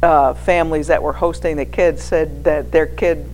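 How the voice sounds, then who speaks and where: A woman's voice: deep voice, steady tone, normal speed, darkish tone, rough recording. An American woman in her sixties giving an informal personal testimony in a hesitant, conversational, anecdotal way. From New England, United States. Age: 60s. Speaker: guest speaker, former missionary sharing with a church congregation. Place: speaking live to a church gathering. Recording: clear background noise with hum.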